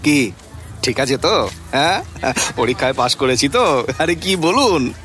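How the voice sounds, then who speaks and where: A man's voice: a little high, very animated, a little slow, fairly bright tone, faint music behind. A man playing a jovial, teasing young brother-in-law in a radio drama, chuckly and stammering, with a West Bengal Bengali accent. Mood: teasing, amused.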